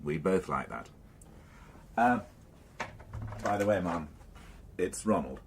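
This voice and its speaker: An actor playing a guarded long-serving police inspector, terse and dryly deferential, in a radio drama. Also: male voice, deep voice, lively intonation, slow, slight background noise, full character voice. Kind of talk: British radio drama acting.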